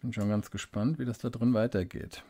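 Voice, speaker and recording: A man giving a casual unboxing review at a desk, unhurried and thinking aloud with small fillers. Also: medium pitch, steady tone, noisy background. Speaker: fountain pen reviewer. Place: indoors at a desk, hands-only camera. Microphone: studio desk microphone.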